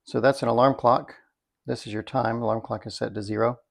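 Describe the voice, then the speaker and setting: A man with a medium-pitched voice that has plenty of gravelly fry talks through a product demonstration. He is casual and unscripted, thinking out loud. His manner is folksy and dryly wry. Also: steady tone, brisk. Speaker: product reviewer.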